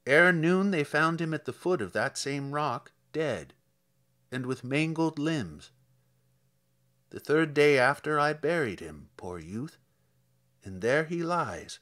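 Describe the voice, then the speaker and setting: Man acting a slow, sorrowful old village priest. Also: on the high side, expressive, a bit bright. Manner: measured, solemn storytelling. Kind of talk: dramatic poetry reading.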